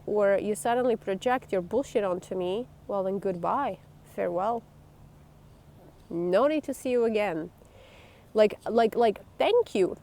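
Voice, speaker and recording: Blunt woman with a gravelly voice. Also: mid-range voice, a little mellow. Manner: blunt, emphatic and swearing. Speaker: vlogger. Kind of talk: candid talk to camera.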